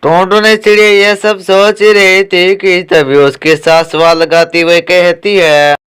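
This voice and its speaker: Slow, very clear story narrator. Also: medium pitch, level tone, fairly bright tone. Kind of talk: scripted cartoon story narration.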